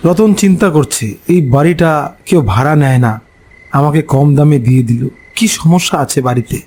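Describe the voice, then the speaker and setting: Higher-pitched male horror story narrator. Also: animated, darkish tone, a little hiss or hum. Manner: suspenseful, with pauses between short phrases. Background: background music.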